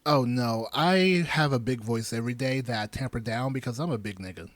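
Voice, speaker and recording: A man in his thirties hosting a podcast, chatting casually with animated, joking asides. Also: mid-range voice, fairly quick, no echo. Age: 30s. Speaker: podcast host.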